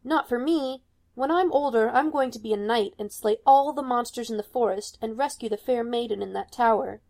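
A woman's voice: fairly high pitch, moderate pace, darkish tone, natural voice. A woman voicing a young boy prince who speaks firmly and boastfully. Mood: determined. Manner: firm and boastful. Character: bold young boy prince with wooden sword.

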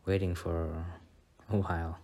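A man acts out a sweet, shy young student boyfriend in an ASMR roleplay. His voice is low and stays fairly flat, with a slow, hesitant delivery. He comes across as soft-spoken and bashful on a first date. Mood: shy, hopeful.

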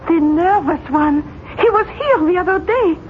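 An actress playing an anxious, pleading doctor's assistant in a 1970s radio drama, timid and coaxing. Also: female voice, very high voice, lively intonation, a little slow. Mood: nervous, pleading. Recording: thin tinny vintage radio, faint hiss.

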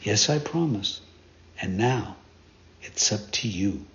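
Man reading as a gentle, motherly fairy. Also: lowish voice, slow pace, voice pushed down, heavily acted. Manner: gentle, calm, instructive. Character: kind, patient motherly fairy woman. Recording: dull lo-fi recording.

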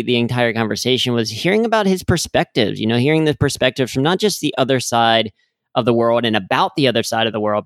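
Chatty podcast co-host, smooth voice. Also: male voice, mid-range voice, no echo, clean studio sound. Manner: chatty, self-deprecating, laughs as he talks. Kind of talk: casual podcast chat.

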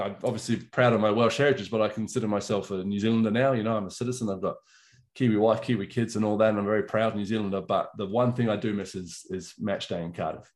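Guest voice: a middle-aged man from England, low-pitched, warm and enthusiastic in conversation. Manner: chatty, enthusiastic storytelling. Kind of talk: casual chat.

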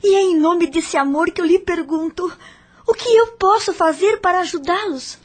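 A woman's voice: extremely high pitch, normal speed. A woman playing an anxious, devoted young widow in a radio drama, speaking earnestly and tenderly. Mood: tearful pleading.

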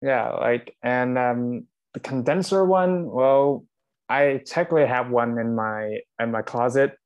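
A man's voice: mid-range voice, unhurried, darkish tone, clear, resonant tone, highs cut off. Hesitant man on earphone mic. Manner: hesitant, many fillers and "technically". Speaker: guest podcaster. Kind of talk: casual interview chat. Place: remote video call. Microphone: earphones with built-in microphone.

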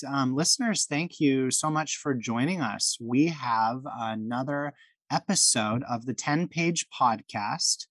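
Male podcast host, mid-pitched, chatty and enthusiastic, dry close sound. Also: fairly bright tone, dry recording. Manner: friendly, enthusiastic, with frequent restarts. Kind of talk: casual podcast chat.

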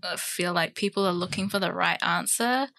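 A woman's voice: on the low side, moderate pace, creaky, bright, forceful tone, no room sound. A young woman with a New Zealand accent, a podcast guest talking casually with many fillers and light hesitations. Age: young adult. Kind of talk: casual chat.

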